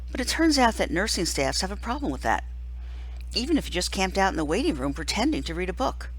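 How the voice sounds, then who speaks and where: Lively woman reading a novel aloud. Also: on the low side, slightly rough, rough recording. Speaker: teacher reading a book aloud. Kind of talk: expressive audiobook-style read-aloud of a first-person children's novel.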